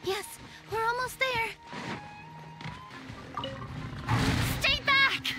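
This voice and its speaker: A woman's voice: extremely high pitch, measured pace, pushed and bright, exaggerated voice. A woman voicing a kind, determined, protective young dancer in a video game, speaking earnestly and encouragingly. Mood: urgent. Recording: game music underneath.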